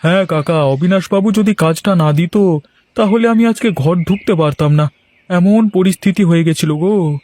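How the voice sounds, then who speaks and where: A man voicing a timid, poor village errand man in a cartoon, creaky and plaintive as he pleads and laments. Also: high voice, normal speed, darkish tone, natural voice. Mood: complaining. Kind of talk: cartoon voice acting.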